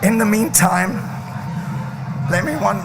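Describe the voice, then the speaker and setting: A man interviewing bands at a festival, who stammers and talks in quick bursts, his voice strained from yelling. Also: high-pitched, expressive, a crowd in the background. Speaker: festival interviewer and crowd entertainer. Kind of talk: casual festival chat. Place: festival backstage area. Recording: loud music behind.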